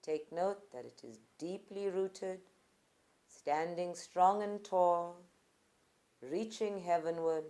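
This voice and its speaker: A woman guides a group meditation in a fairly low voice. Her delivery is very slow and deliberate, with short phrases set apart by pauses. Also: mellow. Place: live group session at a centre.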